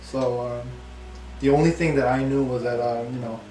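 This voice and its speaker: A young man whose first language is English and second is Korean, speaking as an interview guest with many fillers, halting and soft about painful memories. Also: mid-range voice, steady tone, reverberant, distant mic, over music, low-quality recording. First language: Korean is his second language; English first. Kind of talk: candid personal interview.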